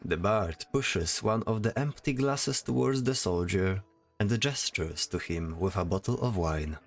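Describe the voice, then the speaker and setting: A man's voice: fairly low pitch, lively intonation, unhurried. A man narrating a scripted fantasy audio drama as its storyteller.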